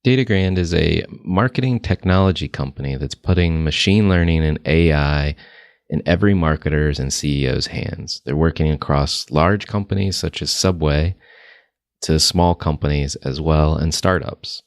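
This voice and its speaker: A man hosting a marketing interview podcast, introducing and questioning his guest in a steady, conversational way. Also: rumbling low, moderate pace, no echo, studio quality. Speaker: podcast host.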